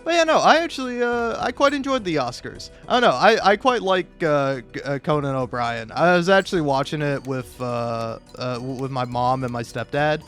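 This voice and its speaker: A man's voice: fairly high pitch, lively intonation, moderate pace, few pauses, a bit bright, soft background music. A man doing casual, rambling YouTube gaming commentary, stammering and restarting often, with profane, self-deprecating talk.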